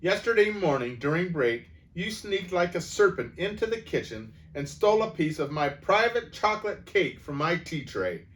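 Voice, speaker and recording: A man voicing a furious, bullying headmistress who barks and booms insults at a child. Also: big pitch swings, normal speed, few pauses, a bit bright. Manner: booming, barking insults. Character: bullying hulking headmistress, woman, furious and cruel.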